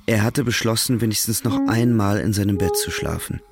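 A man's voice: fairly low pitch, brisk. A man reading scripted literary narration as the narrator of a drama, with music behind him. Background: instrumental music.

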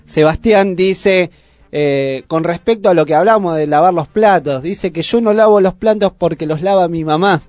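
A man's voice: high voice, normal speed, darkish tone, dry recording. A man with a Buenos Aires Argentine accent chatting casually as a radio host.

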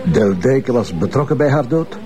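Man playing a police commissioner, Flemish accent, courteous, hesitant, mid pitch, music under. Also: animated, moderate pace, mellow, natural voice. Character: polite, patient male police commissioner. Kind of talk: radio drama acting.

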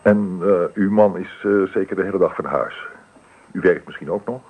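An actor playing a polite young graduate practitioner in a radio play, husky and gently questioning, with small hesitations. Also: male voice, low-pitched, normal speed, low-quality recording. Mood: polite, inquiring. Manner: courteous, questioning, slightly hesitant. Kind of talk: Dutch radio play acting.

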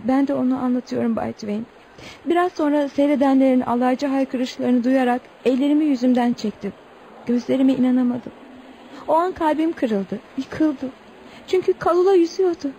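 A woman's voice: high-pitched, moderate pace, mellow, slight background noise, low-quality recording. An actress playing a sorrowful young Eskimo woman in a radio play, with plaintive, emotive delivery. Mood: sorrowful recounting. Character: sorrowful twenty-year-old Eskimo woman, pleading and recounting lost love. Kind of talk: radio theatre acting.

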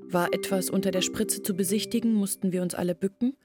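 A woman's voice: mid-range voice, fairly even intonation, fast, natural voice. An actress in a radio play playing a village woman who recounts her family's downfall. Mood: wistful recollection. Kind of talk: radio play monologue, storytelling. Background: music.

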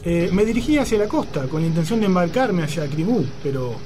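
An actor playing a polite, hesitant young traveling stranger in a radio drama. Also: male voice, sits high, big pitch swings, a little fast, mellow, slight background noise.

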